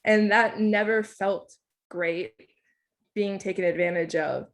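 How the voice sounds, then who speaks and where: A woman's voice: medium pitch, slow pace. A woman in her twenties who grew up speaking Thai, giving a casual, candid talk in a lecture series with frequent ums and likes. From United States. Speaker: staff researcher giving a lecture.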